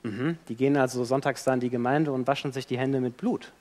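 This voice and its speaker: A man's voice: medium pitch, fast. A man preaching a sermon in a church, telling the story in a vivid way and acting out what people say. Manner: animated storytelling with acted-out quotes. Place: church hall. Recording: long church hall reverb.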